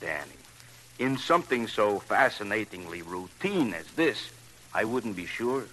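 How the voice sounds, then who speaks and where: An actor playing a rambling, long-suffering police detective with whimsical mock fascination, in vintage radio crime drama style. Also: male voice, fairly low pitch, sing-song, a little slow. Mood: weary, droll. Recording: faintly noisy old radio recording.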